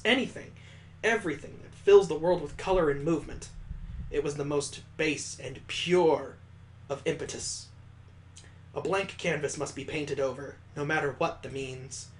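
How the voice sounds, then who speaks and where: A man hosting a gaming video, reading visual novel text aloud in a playful, expressive way and adding joking asides of his own. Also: on the high side, a little hiss or hum.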